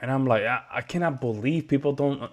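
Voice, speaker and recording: A man from the United States chats through a reaction video in a relaxed, loose way. He keeps turning to his viewers as he talks, and his voice sits at an average pitch for a man. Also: quick pace. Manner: loose and chatty, says "man" and "guys" a lot. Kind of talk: casual reaction commentary.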